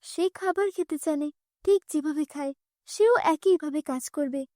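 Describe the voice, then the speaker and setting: A woman voicing a sharp-tongued, bossy, resentful aunt in a fairy-tale cartoon, scolding and commanding. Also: high-pitched, normal speed, no echo, studio quality, close to an everyday voice. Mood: harsh, bossy.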